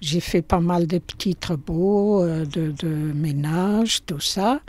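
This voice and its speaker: An older woman from Portugal with a Portuguese accent, interviewed in her small shop, speaking slowly and hesitantly with many fillers. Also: lowish voice, darkish tone, no room sound. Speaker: shopkeeper.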